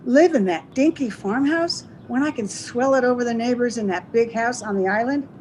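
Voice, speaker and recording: A woman's voice: a little high, moderate pace, darkish tone, natural voice. A woman playing a cold, scornful, social-climbing wife with a hard sneer, in 1930s radio melodrama style. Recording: noisy ambience under the voice.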